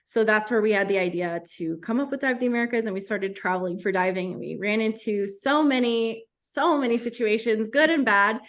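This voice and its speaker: A woman's voice: fairly high pitch, a little fast, hardly any pauses. A woman from Costa Rica, a guest on a podcast, explaining things in an easygoing, casual way. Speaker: guest, travel agency co-founder.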